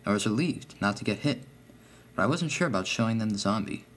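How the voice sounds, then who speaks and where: A man's voice: fairly low pitch, expressive, fast. A man reading a scripted first-person horror story as its narrator.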